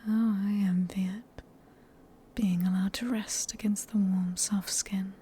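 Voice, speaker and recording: A woman with a British accent playing a sadistic, possessive vampire in a whispered ASMR roleplay, speaking in a breathy, teasing, genteel and condescending manner. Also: mid-range voice, steady tone, a little slow, mellow, slight background noise, lightly acted. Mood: mocking curiosity. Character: sadistic, possessive female vampire, silkily polite and manipulative.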